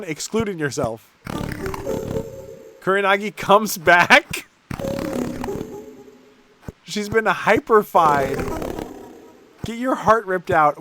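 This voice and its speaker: High-pitched irreverent man streaming a game. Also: noisy background. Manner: irreverent, sweary, amused by absurd text. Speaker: streamer playing a video game. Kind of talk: reading game text aloud with live reactions.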